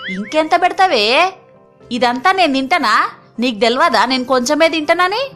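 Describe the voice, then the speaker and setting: A woman's voice: high-pitched, animated, normal speed, fairly bright tone, faint music behind. A woman voicing a sly, self-assured older mother-in-law in a Telugu cartoon story, dry and mock-modest, with a Telangana accent.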